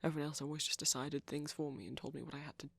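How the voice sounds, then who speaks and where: A performer playing a shy, caring vampire boyfriend in an intimate ASMR roleplay, speaking softly and breathily with hesitant pauses. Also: mid-range voice, flat delivery, dry recording. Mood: shy, reflective. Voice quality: breathy, often near whisper. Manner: soft-spoken, breathy, halting with gentle pauses.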